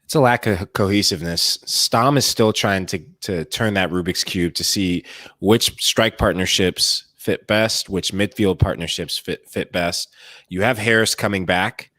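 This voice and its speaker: A man, a former player, co-hosting a casual sports podcast and talking in an earnest, measured way. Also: sits low, bright, forceful tone, dry recording.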